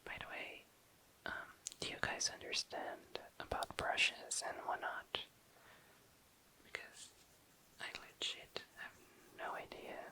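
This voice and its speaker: Casting: ASMR host, breathy whisper with a very bright edge, slow and hushed casual chat. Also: rough recording. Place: tabletop indoors.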